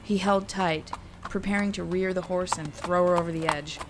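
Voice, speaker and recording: A woman's voice: lowish voice, steady tone, normal speed, noisy background. A woman storyteller reading scripted fantasy narration in an audiobook manner.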